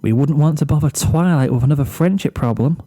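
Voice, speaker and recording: A man voicing a gentle, sweet female pegasus pony, pleased with herself, in a storybook reading. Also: a little high, brisk. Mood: giddy, proud.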